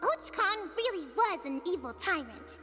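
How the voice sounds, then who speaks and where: A woman's voice: very high-pitched, very animated, unhurried, in a large hall, over music, full character voice. A woman voicing an earnest little child in a video game, eagerly telling stories in a matter-of-fact way. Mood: earnest storytelling. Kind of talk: English video game voice acting.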